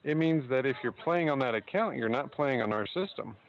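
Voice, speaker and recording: Male support agent, average pitch, calm and methodical, slightly hesitant, muffled phone-call sound. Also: a little fast. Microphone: telephone line.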